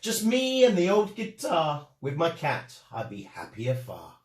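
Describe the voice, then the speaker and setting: An affectionate old busker from a storybook, acted out by a man, singsong and heartfelt. The pitch swoops widely and the room echoes. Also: wildly swooping pitch, measured pace, far from the mic. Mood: longing song. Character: old Fred, affectionate male street busker who sings.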